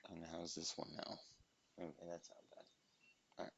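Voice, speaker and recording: A man with a raspy voice, chatting casually to viewers as he plays through a game. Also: low-pitched, monotone, moderate pace, very noisy. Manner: chatty, talking to viewers while playing. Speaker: gameplay narrator. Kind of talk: casual let's-play commentary. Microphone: cheap microphone.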